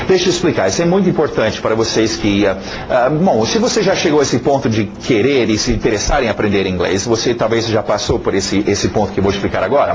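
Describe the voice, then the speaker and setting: Animated male teacher. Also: mid-range voice, dull audio, slight background noise. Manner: animated, repeats words for emphasis, frequent check-in tags. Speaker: English teacher. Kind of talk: informal spoken lesson.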